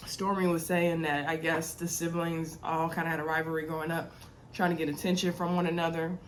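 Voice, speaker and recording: A woman's voice: sits low, flat delivery, brisk, slight background noise. A young woman with a sore throat and congestion chatting casually to her viewers as she recaps a reality TV episode, with lots of ums and y'alls. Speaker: TV recap host.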